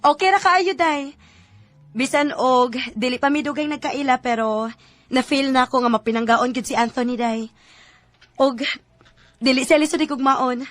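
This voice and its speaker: An actress plays a young woman in a Cebuano radio drama. Her voice sits high, and she speaks as a sweetheart in love who is proud and easily wounded. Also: moderate pace, long pauses, dry recording, lightly acted. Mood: sweet. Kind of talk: Filipino radio drama acting.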